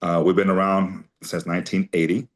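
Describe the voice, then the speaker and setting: Very low-voiced man telling stories. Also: little pitch movement, fairly quick. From Armenia. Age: 40s. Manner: chatty anecdotal storyteller, many fillers. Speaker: guest, company CEO. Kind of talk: conversational storytelling interview.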